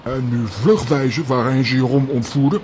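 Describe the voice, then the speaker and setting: A man's voice: mid-range voice, fairly even intonation, normal speed, a little mellow, a little hiss or hum, natural voice. A man playing an assertive, know-it-all adventurer in a children's audio drama, bossy and emphatic.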